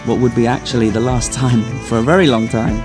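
A man's voice: medium pitch, fairly even intonation, brisk. Easygoing man narrating a travel vlog. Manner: easygoing, conversational. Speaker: travel vlogger. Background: guitar music.

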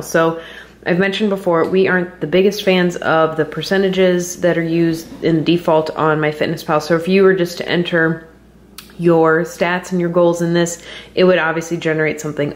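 An American woman in her thirties chatting casually and explaining things as a fitness vlogger, recorded on camera at home. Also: on the low side. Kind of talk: casual vlog chat and explaining. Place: home kitchen, indoors. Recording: roomy kitchen with some background noise.

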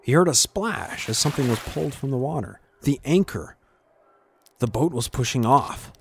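A male narrator tells a fantasy adventure in a dramatic scripted style. His voice sits at a medium pitch, with ambient noise audible behind it. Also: lively intonation, moderate pace. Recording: clear background ambient noise.

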